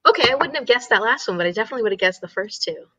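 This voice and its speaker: A woman with a nasal voice and an animated, up-and-down delivery, chatting casually with a friend on a reading stream. She laughs easily and often gropes for the right word. The audio is dull and muffled, with a dry sound. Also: on the high side, rapid-fire, no echo. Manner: laughs often, searches for words. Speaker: host of a reading livestream. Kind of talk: casual chat between friends.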